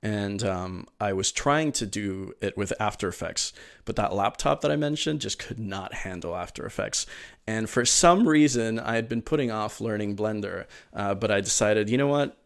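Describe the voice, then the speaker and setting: Lowish-voiced man telling his story, rambling. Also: normal speed, vocal fry, clear, resonant tone. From Venezuela. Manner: eager, rambling with many fillers and restarts. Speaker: podcast guest. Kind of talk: casual interview storytelling.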